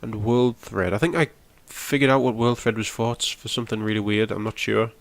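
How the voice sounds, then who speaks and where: A man's voice: lowish voice, fairly even intonation, brisk. A young man with a cold, sounding nasal and snotty as he casually walks through a game with plenty of ums. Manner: rambling, explains as he goes, frequent ums. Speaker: gaming channel host. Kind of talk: casual gameplay walkthrough.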